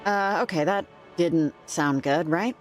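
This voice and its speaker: A woman's voice: lowish voice, unhurried, soft background music, close to an everyday voice. An actress playing a level-headed woman field researcher in a video game, dry, plainspoken and understated. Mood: wary, practical. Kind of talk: naturalistic video game acting.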